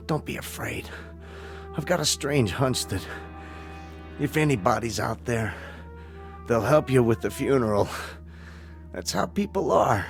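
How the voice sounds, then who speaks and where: An actor playing a determined, idealistic injured astronaut in a radio-style drama, earnest and persuasive. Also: male voice, medium pitch. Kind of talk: dramatic radio-play acting. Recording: music playing behind the voice.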